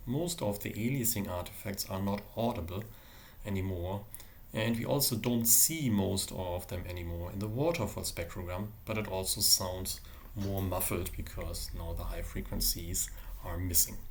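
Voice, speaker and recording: A man giving a live technical demonstration as a university lecturer, explaining in a hesitant way with frequent pauses. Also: on the low side, vocal fry. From Germany. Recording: roomy echo, faint background noise.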